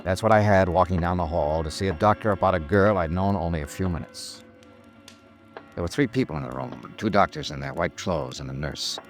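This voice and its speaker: A tough, wry American investigator in a vintage radio drama. His voice is low and lively in pitch, dogged and a little haunted, on an old recording with faint music. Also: a little fast, vocal fry. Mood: somber, reflective. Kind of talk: 1950s radio detective drama narration and acting.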